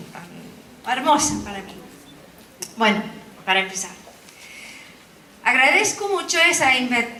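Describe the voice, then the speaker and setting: A woman reads a conference paper in a careful, measured way, her showing through. Her voice sits fairly high, and she sounds far from the mic. A large hall echoes around her. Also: pushed and bright, a little hiss or hum. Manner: careful, measured reading with hesitations. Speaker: conference speaker. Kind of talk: academic talk read from a script.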